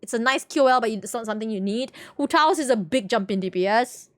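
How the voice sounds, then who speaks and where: Female game streamer, slightly high pitch, dry sound, casual and slangy, rambling chat with viewers. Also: animated, quick pace, no echo. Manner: chatty, rambling, slangy, repeats phrases. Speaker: game livestreamer.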